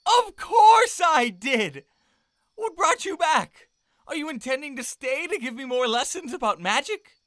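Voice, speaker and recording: An eager young boy prince, voiced by an actor, bubbles over in a high, swooping voice. He is affectionate and excitable, in a closely recorded fantasy audio drama. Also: measured pace, no echo, studio quality, exaggerated voice. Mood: excited.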